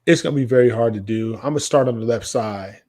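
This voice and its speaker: An American man in casual conversation as a podcast co-host. His pitch sits in an ordinary middle range. He tends to say a phrase, then say it again. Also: fast talker, a little mellow. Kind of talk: casual music chat.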